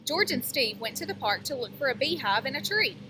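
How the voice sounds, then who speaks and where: A woman teacher reading a picture book aloud to young children, pausing to explain things and ask them questions. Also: high-pitched, big pitch swings, pushed and bright, a little hiss or hum.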